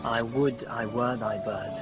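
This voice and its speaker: A man's voice: mid-range voice, fairly even intonation, a little slow, loud music behind the voice, lightly acted. An actor playing an ardent young nobleman in love, delivering fervent, theatrical Shakespearean verse in the manner of 1950s radio drama. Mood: tender, adoring. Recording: noisy, dull vintage 1950s radio broadcast.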